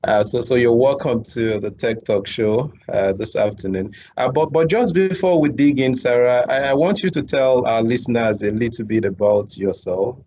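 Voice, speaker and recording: A man's voice: mid-range voice, moderate pace, few pauses, mellow. A man hosting an interview show, speaking hesitantly with frequent fillers and repeated words. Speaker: show host. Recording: dull lo-fi audio, faint background hum.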